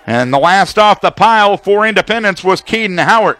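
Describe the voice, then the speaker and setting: A man's voice: high-pitched, expressive, a little fast, a bit bright. An American man calling live football play-by-play for radio, describing formations and action in a detailed running commentary.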